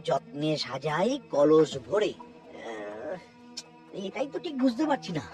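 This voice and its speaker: Man as a scheming, excitable cartoon minister. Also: on the high side, a little slow, loud music behind the voice. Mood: muttering, plotting. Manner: muttering to himself, excitable. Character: scheming, greedy minister sneaking after treasure alone. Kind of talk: Bengali cartoon voice acting.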